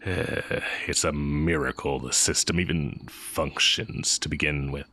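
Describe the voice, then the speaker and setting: A man acting as a sly, coolly teasing heretic magic teacher in an intimate audio roleplay, musing and taunting with drawn-out pauses. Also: extremely low pitch, steady tone, sharp tone, full character voice. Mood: wry, musing.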